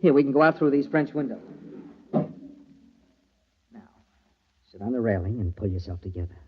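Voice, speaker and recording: A man's voice: medium pitch, expressive, normal speed, breathy edge. An actor playing an anxious, bossy young man hiding guilt, theatrical and insistent in old radio horror style. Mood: tense, dismissive. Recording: muffled old radio, crowd behind.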